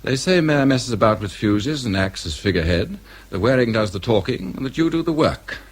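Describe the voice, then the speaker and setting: An actor playing a worldly, cynical civil service schemer in a radio drama, with a British accent and an insinuating, offhand manner. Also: male voice, mid-range voice, expressive, brisk, slightly rough. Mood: confiding.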